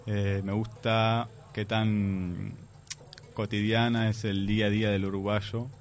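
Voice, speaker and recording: A young man from Palestine, a radio guest, answering hesitantly with soft laughs. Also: on the low side, flat delivery, slow.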